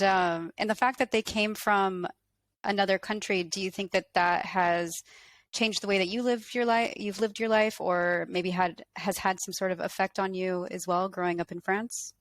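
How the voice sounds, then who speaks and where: Flat-voiced American woman host. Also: mid-range voice, normal speed, no room sound. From Colorado, USA. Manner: curious, asks follow-up questions. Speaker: podcast host. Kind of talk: interview questions.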